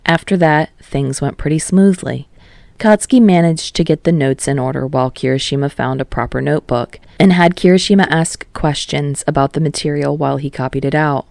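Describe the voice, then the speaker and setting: A woman narrates a story in a low voice. It is an audiobook read, recorded close and dry with no room sound. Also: brisk, creaky, a little mellow, no room sound. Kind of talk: scripted fiction narration.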